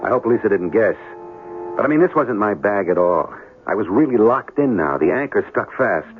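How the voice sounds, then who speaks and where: An actor playing an evasive, selfish young American military pilot in an old-time radio drama, talking in slangy fashion. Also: male voice, fairly low pitch, big pitch swings, moderate pace, narrow, tinny audio. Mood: rueful, cynical narration. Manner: slangy, hesitant when making excuses. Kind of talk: old-time radio drama acting with first-person narration. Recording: band-limited old radio broadcast, music behind.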